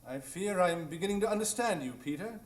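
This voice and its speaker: A man playing a self-assured, cerebral detective in a live radio drama, explaining in a formal, measured way. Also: high-pitched, animated, a little hiss or hum. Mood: reflective. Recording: echoey stage, distant from microphone.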